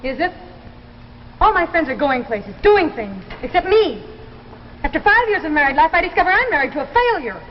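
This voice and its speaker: High-pitched, bitter, sarcastic American wife. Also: female voice, big pitch swings, brisk. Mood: scornful, nagging. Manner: biting, sarcastic. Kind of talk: 1950s melodramatic anthology acting. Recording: muffled, reverberant 1950s broadcast with noise.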